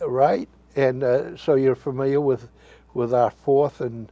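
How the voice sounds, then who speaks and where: A man hosting a local interview show, asking questions in a halting way, stumbling over names and using many fillers. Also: mid-range voice, steady tone, mellow, dry recording, highs cut off.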